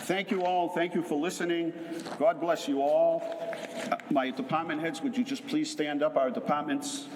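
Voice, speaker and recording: A man's voice: fairly high pitch, steady tone, normal speed. A man, the mayor, giving an informal ceremonial speech with a proud, folksy manner. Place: large city hall chamber. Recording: echoey hall with crowd noise.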